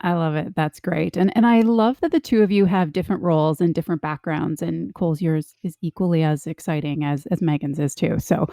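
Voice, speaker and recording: A woman hosts a podcast interview in an upbeat, enthusiastic way. Her voice sits on the lower side and sounds warm and mellow. The recording is dry and close. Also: brisk, few pauses, no room sound. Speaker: podcast host.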